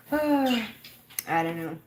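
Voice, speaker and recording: A woman's voice: fairly high pitch, noisy background. Chatty woman in her fifties. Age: 50s. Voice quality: laughs often, rambling and chatty. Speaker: livestream host. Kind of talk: casual livestream chat.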